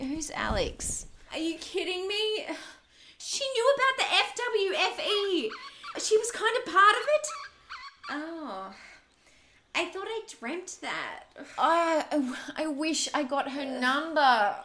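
A woman's voice: very high voice, very animated, takes it slowly, pushed and bright, slight background noise, exaggerated voice. An actress playing a frightened young woman caught up in a crime, talking in a panicky, pleading way. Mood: confused.

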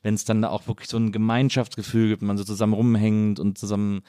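Male interviewer, rapid informal delivery, enthusiastic and rambling, recorded dry with no room sound. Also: on the low side, level tone, dark tone, no echo. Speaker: podcast host, interviewer. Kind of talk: casual conversational interview.

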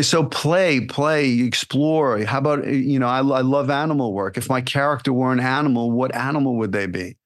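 A man, an acting coach, giving casual solo podcast advice in a blunt, animated way, firing rhetorical questions at the listener. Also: mid-range voice. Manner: casual and blunt, with repeated fillers and restarts.

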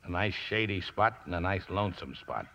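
An actor playing a rough, easygoing riverboat mechanic in a 1950s radio drama, deferential and folksy, with ungrammatical speech. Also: male voice, low voice, expressive, moderate pace, bright, forceful tone, exaggerated voice. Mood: cheerful, relaxed. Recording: dull, noisy vintage radio broadcast.

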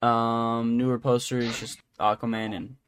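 A man's voice: medium pitch, level tone, normal speed, a bit bright, clean tone, no echo, studio quality. A man co-hosting a podcast, chatting casually about entertainment news in an irreverent, joking, sometimes profane way. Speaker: podcast co-host.

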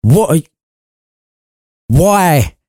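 Man acting grumpy, sweary old miser, mellow-toned. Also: a little high, sing-song, extremely slow, dry recording, studio quality, voice pushed up, exaggerated voice. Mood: frightened. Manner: exclaiming, swearing, indignant. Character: grumpy foul-mouthed old male miser, easily startled.

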